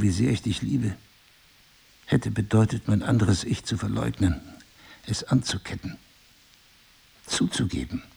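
An actor playing a remorseful middle-aged husband in an audio drama, speaking in a husky, gravelly, deliberate and intimate way. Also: male voice, on the low side, darkish tone, exaggerated voice. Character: remorseful, pleading husband, brooding and passionate.

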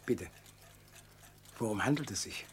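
An actor playing a middle-aged, suspicious, embittered uncle under questioning in a German radio crime drama, curt and defensive. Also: male voice, on the low side, measured pace, slightly rough.

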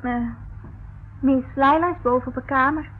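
A woman's voice: high-pitched, steady tone, normal speed, natural voice. An actress playing a naive, flustered young servant girl in a radio play, hesitant and deferential. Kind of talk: radio play acting in Dutch. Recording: muffled, narrow-band, noisy old radio recording.

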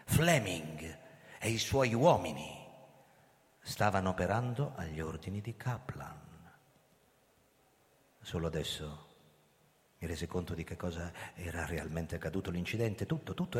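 A man's voice: deep voice, lively intonation, normal speed, long pauses. An actor playing a desperate hunted man who narrates his own story theatrically in a radio thriller. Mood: hushed, grim. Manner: intense, dramatic, emphatic repetitions. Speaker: actor, lead character and narrator. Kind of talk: theatrical first-person radio drama, narration and dialogue. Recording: long reverberant hall sound.

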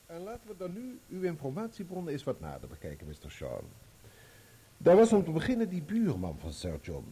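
An actor in a radio play voicing a probing, sardonic barrister, formal and full of pointed rhetorical questions. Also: male voice, a little high, dark tone, husky, full character voice. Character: probing, sardonic male barrister cross-examining a witness. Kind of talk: courtroom radio play acting.

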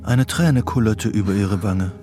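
An actor narrating a psychological radio drama in a measured, detached literary style. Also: male voice, lowish voice, over music, lightly acted.

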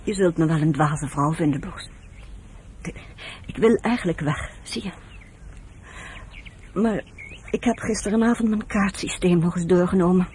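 A woman's voice: lowish voice, unhurried, pauses often, darkish tone, a little hiss or hum, close to an everyday voice. An actress playing an earnest, conscientious woman in a Dutch radio drama, speaking in a hesitant, confiding, emotional way. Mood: hesitant, confessing. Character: earnest, conscientious woman caring for camp survivors.

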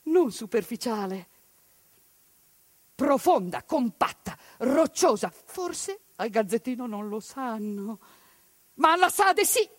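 Woman stage narrator, indignant and dramatic, fairly high voice, dry close sound. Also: very animated, takes it slowly, no room sound. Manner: impassioned, indignant, dramatic swings between loud and hushed. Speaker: stage actress narrating a monologue. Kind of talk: theatrical civic monologue broadcast on radio.

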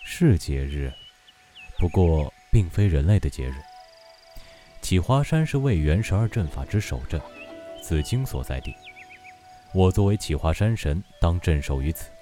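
Deep, mellow-voiced, gentle mountain god played by a man. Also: very animated, faint music behind. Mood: calm, courteous. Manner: measured, courteous, softly indulgent. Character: calm, gentle, patient male mountain god. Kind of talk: Chinese audio drama acting.

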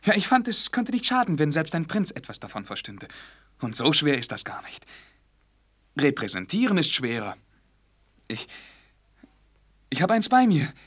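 Radio drama: sheltered young prince, lightly high voice, very animated, courtly, earnest, halting. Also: male voice, slightly rough, full character voice. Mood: shyly proud. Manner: courtly, eager, hesitant and halting. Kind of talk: German radio drama acting.

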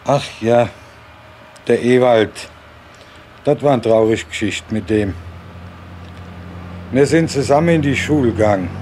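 Older male eyewitness, mid-pitched, folksy spontaneous storytelling, light background noise. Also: a little slow, long pauses, darkish tone. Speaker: eyewitness telling his memories.

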